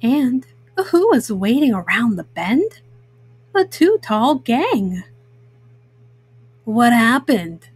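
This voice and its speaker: A woman's voice: fairly high pitch, big pitch swings, slow pace, darkish tone, breathy edge. A woman storyteller narrating a children's storybook.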